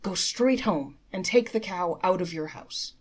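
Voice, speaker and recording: A woman's voice: on the low side, a little slow, pitched above the performer's own voice. Woman playing a serene, fatherly storybook rabbi. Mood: firm. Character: serene, grave, gentle male rabbi giving advice. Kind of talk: storybook character voice.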